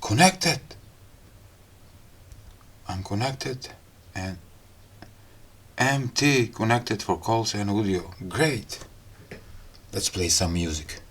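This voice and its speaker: Slow, low-voiced man with non-native English accent. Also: fairly bright tone, breathy edge. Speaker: gadget review host. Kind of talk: casual hands-on unboxing and product demo talk.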